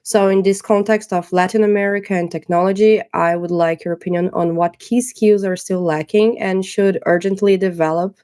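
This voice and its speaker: A woman's voice: mid-range voice, fairly even intonation, normal speed, hardly any pauses, warm tone, clear, resonant tone. A woman in her late twenties from southern Brazil, an English teacher asking a structured question on a video call. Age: 20s.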